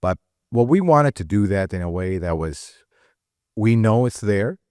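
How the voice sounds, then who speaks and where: A middle-aged mechanic from Iraq, talking earnestly in a casual conversation, often repeating and restarting words. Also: male voice, on the low side, animated, a little slow, darkish tone, no room sound, clean studio sound. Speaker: mechanic and shop owner.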